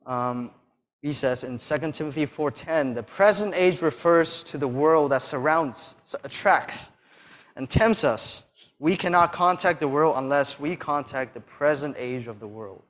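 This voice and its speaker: A soft-spoken man giving a sermon and reading Bible verses, hesitant with fillers and restarts, pressing hard on key phrases. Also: a little high, measured pace. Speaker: church speaker.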